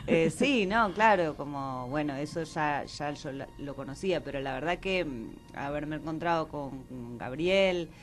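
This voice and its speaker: A woman speaks in a fairly low voice with an Argentine Rioplatense accent. She chats informally as an interview guest, agreeable and a little hesitant in her phrasing. Faint music plays behind her. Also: measured pace, few pauses. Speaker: theatre director, interview guest. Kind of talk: casual radio interview chat.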